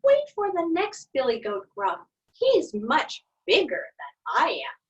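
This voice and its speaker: A woman voicing the littlest billy goat, small and clever, with a high, squeaky plea. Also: wildly swooping pitch, slow pace, highs cut off, heavily acted. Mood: pleading.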